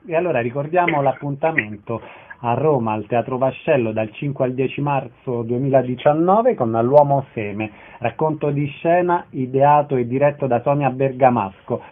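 A man's voice: medium pitch, moderate pace, slight background noise. A man hosting a radio interview, asking brief friendly questions and wrapping up with a promotional reminder. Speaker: radio host. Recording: lo-fi narrow radio sound.

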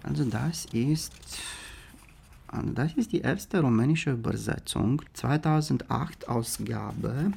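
A man's voice: medium pitch, creaky, darkish tone, a little hiss or hum. A man around forty with a Romanian accent, a historian talking off the cuff in an interview in his second language, searching for words. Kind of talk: spontaneous interview talk.

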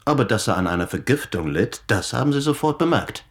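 Actor as a pushy, animated young reporter. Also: male voice, medium pitch, fairly quick, natural voice. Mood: accusing, pressing. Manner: pointed, pressing questioning. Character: young male London newspaper reporter, insistent, probing. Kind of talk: German radio play acting.

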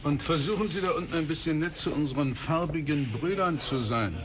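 An actor playing a smiling, condescending senior police officer giving orders in a German radio drama. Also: male voice, a little high, brisk, noisy background, natural voice. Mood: patronizing.

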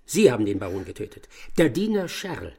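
A self-assured master detective in a radio play. His delivery swings widely in pitch, formal and emphatic, with a gravelly creak in the voice. He sounds pompous and pressing. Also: very animated, a little fast, mellow, exaggerated voice. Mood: accusing, insistent. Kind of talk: radio drama acting.

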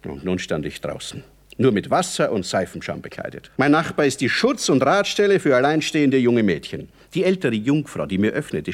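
A man's voice: medium pitch, slightly rough. An actor playing a hapless, agitated hungover man in a German radio play, telling his woes theatrically. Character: hapless, agitated, newly engaged man with a hangover.

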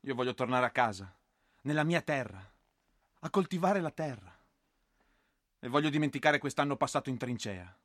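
A man plays a homesick infantryman worn out by the trenches. He declaims insistently in a medium-pitched voice, recorded dry as theatrical radio drama. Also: animated, normal speed, long pauses, fairly bright tone, no echo, natural voice. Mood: anguished. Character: war-weary peasant infantryman longing for home.

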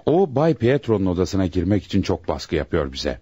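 An obedient policeman in a radio drama. The deep, rough-edged voice is recorded very dry, and the delivery is deferential and slightly hesitant while reporting. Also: very animated, brisk, mellow, no echo, highs cut off, exaggerated voice. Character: obedient male policeman guarding a delirious patient. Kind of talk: radio theatre acting.

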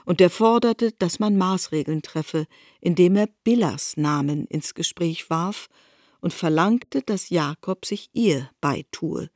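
A woman's voice: fairly low pitch, slow pace, a little mellow, dry recording, studio quality. A woman narrating a literary radio reading in a precise, formal way.

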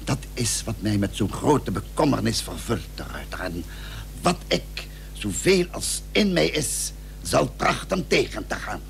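An actor playing a courteous, persuasive statesman in a period radio drama, with formal, measured, confiding speech. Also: mid-range voice, very animated, creaky. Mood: concerned. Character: courteous, persuasive male statesman of the Dutch Republic. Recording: humming, noisy old radio recording.

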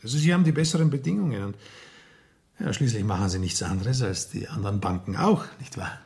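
Low-voiced young count. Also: male voice, expressive, fast, vocal fry, a little mellow. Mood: confidential. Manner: genteel, hesitant, with tag questions. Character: young aristocratic count, polite, condescending and discreet. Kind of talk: German radio drama acting.

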